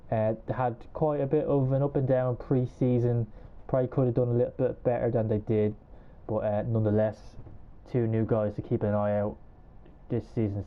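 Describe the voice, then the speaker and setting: A man with an Irish accent hosting a casual podcast, chatty and hedging as he runs through a list. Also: fairly low pitch. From Ireland. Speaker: podcast host. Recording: tinny phone-like call audio.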